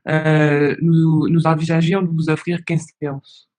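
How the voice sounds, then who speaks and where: Male case agent. Slightly high voice, polite, hesitant, questioning delivery. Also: flat delivery, a little slow, a little mellow. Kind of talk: professional phone conversation, questioning.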